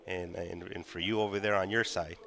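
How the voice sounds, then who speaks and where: A man hosting a casual live stream, speaking haltingly and often stammering over repeated words. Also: deep voice, flat delivery, fairly bright tone. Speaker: host of a call-in stream.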